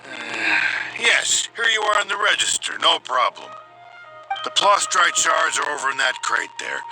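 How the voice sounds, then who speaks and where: A man playing a mild, obliging townsman in measured fantasy video game dialogue, with music behind him. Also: fairly high pitch, animated, sharp tone, exaggerated voice, poor audio. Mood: helpful.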